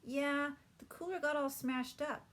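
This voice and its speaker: A woman voicing an eager, excitable young boy in a children's storybook. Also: high voice, a little slow, voice pushed up. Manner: excitable, boyish exclamations.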